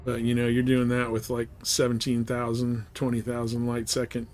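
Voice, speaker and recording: A man's voice: mid-range voice, completely flat delivery, moderate pace, darkish tone, a little hiss or hum. A man livestreaming a video game with casual commentary, rambling a little as he thinks aloud. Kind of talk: casual live gameplay commentary.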